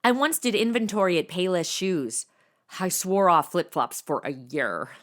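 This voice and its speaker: A woman's voice: on the low side, lively intonation, moderate pace, fairly bright tone. A woman playing a sassy, irreverent, blunt young temp in a comedy audio drama, sarcastic and slangy.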